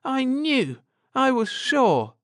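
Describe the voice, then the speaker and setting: A man voicing a joyful, excitable girl of about ten in an audiobook, full of eagerness. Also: very high-pitched, slow pace, dry recording, clean studio sound, voice pushed up, full character voice. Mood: overjoyed. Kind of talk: audiobook character dialogue.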